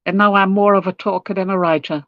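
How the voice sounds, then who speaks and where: An older woman from Portugal chats as a guest on a video call. She talks quickly, her words tumbling and restarting. Her mid-pitched voice comes through dry and slightly muffled. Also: fairly even intonation, no room sound. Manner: speedy talker, stumbles and restarts.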